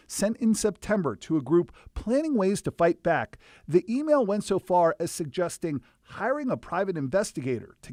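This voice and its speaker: Male American TV news reporter, higher-set voice, very expressive scripted delivery. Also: dry recording, clean studio sound. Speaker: investigative reporter.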